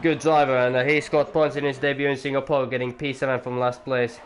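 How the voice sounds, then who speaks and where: A man's voice: mid-range voice, level tone, fairly quick, a little hiss or hum. A young man giving live sim-racing commentary as the league's owner, rambling and chatty with jokey asides to viewers.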